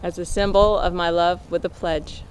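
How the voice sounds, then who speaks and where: A woman's voice: lowish voice, flat delivery, normal speed, low-quality recording. A young adult woman, a bride, repeating ring vows outdoors, picked up faintly off the microphone. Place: outdoor wedding ceremony in a field. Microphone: officiant's handheld microphone, off-mic. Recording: faint off-mic voice, outdoor noise.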